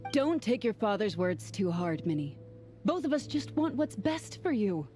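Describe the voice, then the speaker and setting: A woman voicing a gentle, practical middle-aged mother in a video game, soothing and measured. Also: medium pitch, very animated, soft background music, lightly acted. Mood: gently cautioning.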